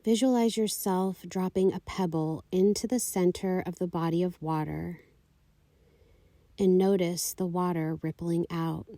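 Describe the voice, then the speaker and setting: A woman leading a guided meditation, giving calm, soothing, unhurried instructions. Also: on the low side, no echo. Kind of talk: guided meditation, slow scripted narration.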